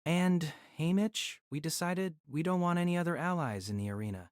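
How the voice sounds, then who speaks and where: A woman playing a gentle, honest teenage boy in love, in an audio drama. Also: on the low side, moderate pace, no echo, clean studio sound, lightly acted. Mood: wry.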